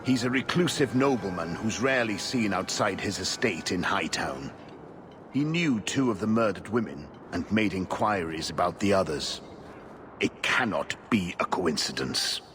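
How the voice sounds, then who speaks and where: Low-voiced, weary investigator. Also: male voice, animated, moderate pace, music in the background. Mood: grateful, determined. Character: weary, obsessive male templar investigator, earnest and frustrated. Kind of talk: video game voice acting. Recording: clear background ambient noise.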